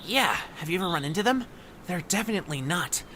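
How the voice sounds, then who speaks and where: A man's voice: sits high, lively intonation, fast talker, bright, forceful tone. A man voicing a skittish, accident-prone little creature in an audio drama, with some noise in the recording. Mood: nervous.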